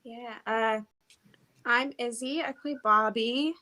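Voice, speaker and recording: A woman's voice: on the high side, slow, bright, forceful tone, rough recording. A woman actor chatting casually and animatedly in an interview, with giggly, hesitant phrasing. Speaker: stage and voice actor. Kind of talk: casual chat, interview answers.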